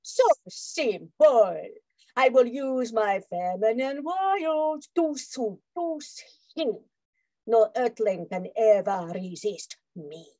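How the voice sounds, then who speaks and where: A woman's voice: a little high, very animated, takes it slowly, warm tone, dry recording. A woman playing a boastful, seductive Martian spy villain with theatrical, sultry delivery in a radio spoof. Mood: smug, scheming. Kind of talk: old-time radio spoof acting.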